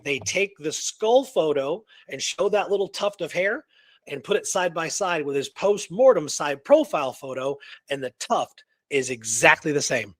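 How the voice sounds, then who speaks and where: A man's voice: on the high side, fairly bright tone, dry recording, clean studio sound. A man co-hosting a radio show, recounting history in a casual, enthusiastic, informal way.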